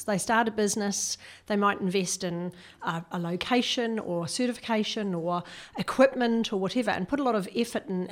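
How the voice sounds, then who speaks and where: This is a middle-aged woman from New Zealand, with the accent to match. Her voice sits at an average pitch for a woman. She talks conversationally, as an interview guest would. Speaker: guest, personal branding consultant.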